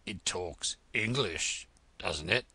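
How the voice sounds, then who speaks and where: A man voicing a very old male frog who talks in a slow, hoarse, whispery drawl. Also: low voice, expressive, bright, forceful tone, dry recording, voice pushed down, heavily acted. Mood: grumpy.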